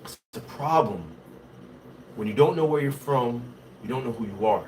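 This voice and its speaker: A man from Haiti with a Haitian accent, hosting a casual livestream, talking in an animated way and reading viewer comments. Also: mid-range voice, normal speed, distant mic, slight background noise. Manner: switches between English and Haitian Creole, animated and conversational.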